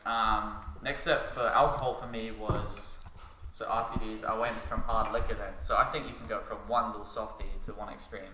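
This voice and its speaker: A man's voice: lowish voice, flat delivery, normal speed. A man in his twenties telling stories as a casual podcast guest, acting out bits of remembered dialogue. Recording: echoey room, faint noise.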